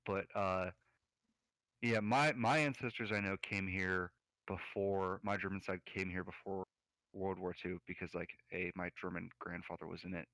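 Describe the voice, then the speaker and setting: Low-voiced rambling podcast man. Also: fairly even intonation, moderate pace, a bit bright, no echo, poor audio. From United States. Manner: rambling, lots of fillers. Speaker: podcast co-host. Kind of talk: casual podcast chat.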